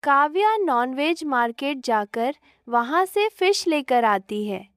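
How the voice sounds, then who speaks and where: A woman's voice: high-pitched, expressive, takes it slowly, dry recording, clean studio sound. A woman narrator reading a scripted story.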